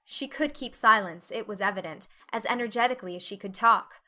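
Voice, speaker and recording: Bright-voiced woman narrating a novel. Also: mid-range voice, a little fast. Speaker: audiobook narrator. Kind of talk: expressive scripted literary narration.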